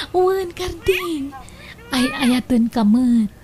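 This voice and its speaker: A woman with an Ilocano accent playing a coy, soft-hearted young daughter being courted in a radio drama. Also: high-pitched, animated, extremely slow, full character voice. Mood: coy, tender. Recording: clear background noise.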